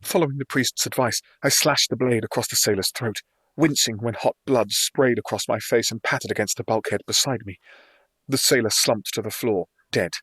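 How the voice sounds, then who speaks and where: A man's voice: mid-range voice, normal speed, a bit bright, breathy edge, dry recording. A man reading scripted fantasy fiction as a dramatic first-person audiobook narrator.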